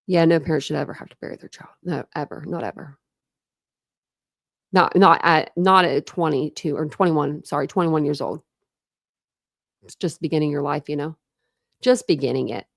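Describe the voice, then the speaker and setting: A young woman, chatting casually on a livestream and rambling with lots of fillers, her voice going hoarse. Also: deep voice, normal speed, pauses often, dry recording, clean studio sound. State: losing her voice, throat clearing. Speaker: true crime streamer.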